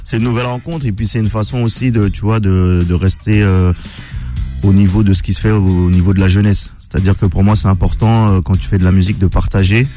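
A man, a singer guest on a radio show, talking in a relaxed, measured way. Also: low voice, soft background music. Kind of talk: casual radio interview.

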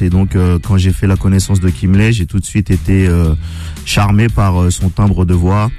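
A man, a singer guest on a radio show, talking in a relaxed, measured way. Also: low voice. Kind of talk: casual radio interview.

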